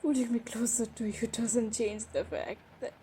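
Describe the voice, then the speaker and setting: A woman playing a hurt, defensive young woman in an emotional romantic roleplay, speaking haltingly with pauses. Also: fairly high pitch, fairly even intonation, mellow, lightly acted. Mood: indignant. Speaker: audio roleplay performer. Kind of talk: improvised romantic roleplay drama. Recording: noisy background ambience.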